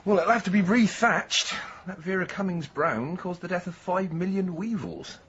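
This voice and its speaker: A man's voice: high voice, normal speed, a bit bright, highs cut off, slight background noise. An actor playing a kindly, matter-of-fact male friend in a radio drama. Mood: gently joking. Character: kindly, gently joking male visitor friend.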